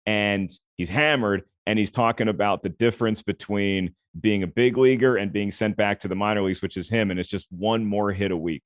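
Deep-voiced man, dry close sound. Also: fairly quick, few pauses, dry recording. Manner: relaxed, chatty storytelling. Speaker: film critic guest. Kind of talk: podcast conversation.

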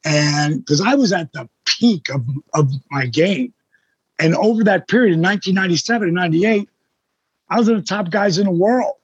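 Husky high-voiced older man, blunt. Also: lively intonation, brisk, no echo, lo-fi sound. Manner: blunt, profane, emphatic. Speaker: guest. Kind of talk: casual storytelling in an interview.

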